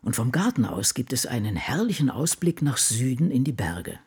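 A woman's voice: very deep voice, very animated, moderate pace, darkish tone, husky, heavily acted. An actress playing a dour novelist in her sixties, musing in a dry, brooding way in a radio play. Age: 60s. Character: dour older woman novelist musing over her life. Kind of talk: radio play monologue, diary reading.

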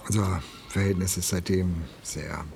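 A middle-aged divorced father in a modern radio drama. His voice sits fairly low, and he speaks haltingly, reluctant and worried. Faint music lies behind him. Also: steady tone, creaky, a little mellow. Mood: reluctant, uneasy. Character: worried, hesitant divorced father of missing teenage son.

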